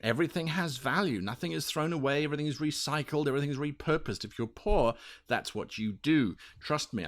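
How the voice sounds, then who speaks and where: A man co-hosting a talk show, explaining in an emphatic, rhetorical way with repeated parallel phrases. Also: medium pitch. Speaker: podcast co-host. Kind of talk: explanatory discussion of tabletop roleplaying.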